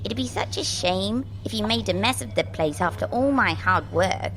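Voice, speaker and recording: A woman's voice: medium pitch, lively intonation, normal speed, a bit bright, slight background noise, natural voice, rough recording. A woman playing a chatty, reassuring otherworldly spirit with a flippant, wry way of talking in an audio drama. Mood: wry, chiding. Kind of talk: acted audio drama dialogue.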